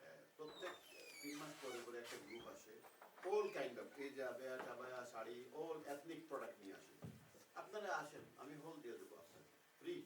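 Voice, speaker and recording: A man's voice: mid-range voice, animated, very fast. A man who owns wedding halls, speaking persuasively and mixing in English phrases as he makes an offer at a networking event. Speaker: wedding hall owner. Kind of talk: casual remarks at a networking event. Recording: roomy hall, distant mic, noisy.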